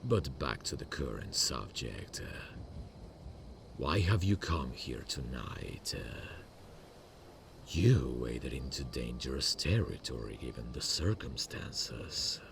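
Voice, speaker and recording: A man playing an imposing, amused demon king in a romantic fantasy ASMR roleplay, speaking in a courtly, formal, deliberate way with a gravelly voice. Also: big pitch swings, background noise, full character voice. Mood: composed, guarded. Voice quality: gravelly, very deep.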